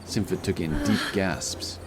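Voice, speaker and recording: A man's voice: low voice, a little slow, music in the background. A man reading scripted narration for a fantasy story as its narrator.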